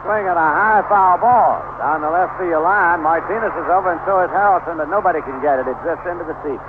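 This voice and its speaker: An American man calling a baseball game live on the radio, in measured, clipped play calls with pauses. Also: fairly high pitch, phone-quality sound. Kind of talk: live radio sports commentary. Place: stadium broadcast booth. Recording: noisy band-limited 1970s AM radio broadcast.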